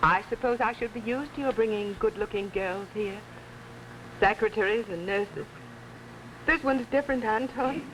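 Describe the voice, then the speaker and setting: An actress playing a bitter, jealous and commanding invalid wife in early 1950s television drama. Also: female voice, medium pitch, moderate pace, a bit bright, natural voice. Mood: bitter, suspicious. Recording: noisy old 1950s TV soundtrack.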